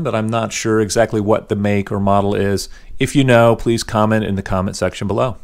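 A middle-aged man narrating a voice-over tour of a motorcycle show in a relaxed, enthusiastic, conversational way. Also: lowish voice. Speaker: motorcycle channel host.

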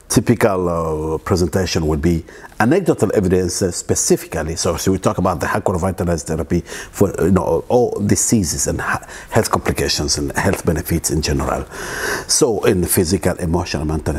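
A middle-aged man from East Africa giving an informal wellness lecture at home, halting, with many restarts and fillers. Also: low-pitched, darkish tone, breathy edge. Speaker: wellness presenter. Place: living room at home, indoors.

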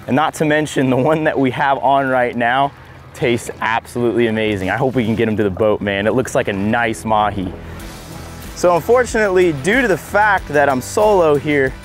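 A man in his thirties, a fishing vlogger, talking casually and excitedly on camera from a small boat on the open ocean, recorded on a boat-mounted camera. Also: mid-range voice. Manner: excited, breaks into whoops and laughs while fighting fish.

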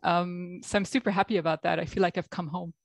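Belgian woman, american accent, lowish voice, thoughtful with lots of ums, dry video call. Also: steady tone, fast, vocal fry, dry recording. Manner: reflective, many ums and restarts. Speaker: guest, executive coach. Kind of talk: interview over video call. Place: home room.

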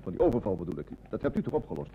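Nervous bank clerk: creaky, swooping voice, stammering, on a muffled, noisy radio drama recording. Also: male voice, deep voice, very animated, very fast, heavily acted. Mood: nervous, evasive. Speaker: actor in a radio play. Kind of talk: Dutch radio play acting.

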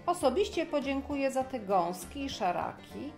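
High-voiced, stately storybook king, played by a woman. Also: big pitch swings, moderate pace, gravelly, faint music behind, full character voice. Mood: gracious, pleased. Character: curious, food-loving king, imperious and gracious. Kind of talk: children's audio fairy tale acting.

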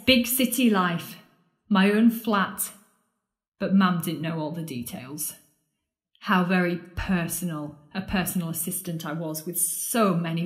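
A woman's voice: on the low side, lightly acted. An actress playing a bitter, scornful woman confronting her lost love in an audio drama, sardonic and accusing. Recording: roomy, echoing space.

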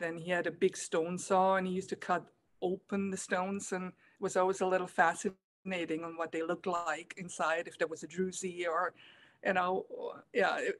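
A middle-aged woman from Germany answers questions over a webcam from her home, soft-spoken and a little hesitant, with plenty of ums and small laughs. Her voice is fairly low for a woman. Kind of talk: casual video call interview. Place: room at home, indoors. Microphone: laptop webcam video call.

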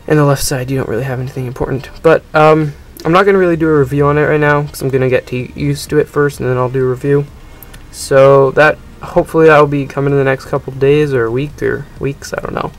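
A man's voice: medium pitch, steady tone, fast. A young man casually narrating a gadget unboxing, rambling and hesitant with many ums. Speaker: unboxing video host. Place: indoors at a table.

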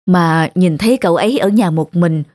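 A woman's voice: fairly low pitch, moderate pace, darkish tone, no room sound, studio quality, lightly acted. Woman acting a meek older housekeeper. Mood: apologetic. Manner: deferential, hesitant.

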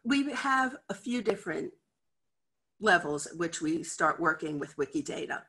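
A middle-aged woman with an American accent speaks over a video call from her office. She gives a conference talk in a chatty, candid way. Her voice is bright and forward. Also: fairly low pitch, expressive, moderate pace, husky. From United States. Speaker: head of a library cataloguing department. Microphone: video call webcam.

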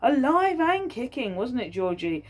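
A woman's voice: sits high, big pitch swings, measured pace, breathy edge, pitched above the performer's own voice, heavily acted. A woman voicing a talkative, shrewd peasant wife who rattles on in a folktale. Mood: rattling.

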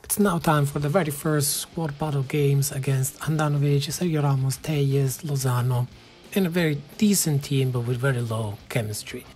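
A man in his thirties with an Italian accent, narrating his own football game footage in a calm, matter-of-fact play-by-play. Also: medium pitch, moderate pace, soft background music. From Italy. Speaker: gaming channel host. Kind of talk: game commentary voice-over.

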